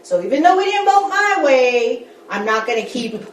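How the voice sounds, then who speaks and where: A woman's voice: high voice, sing-song, moderate pace, slight background noise. A woman school board member speaking candidly, coaching colleagues through a group workshop in a meeting room. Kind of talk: workshop discussion. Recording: echoey room, distant mic.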